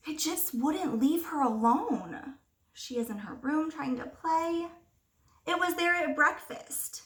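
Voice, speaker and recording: A woman teacher reading a picture book aloud to young children, expressively, pausing to ask them simple questions. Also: sits high, fairly bright tone. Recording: roomy echo, distant microphone.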